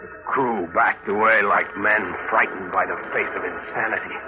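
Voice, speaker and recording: An actor playing an earnest, uneasy ship's officer who tells a ghost tale dramatically in 1940s radio style. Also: male voice, lowish voice, steady tone, moderate pace, natural voice. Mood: haunted, dramatic. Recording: dull old radio recording, loud music behind.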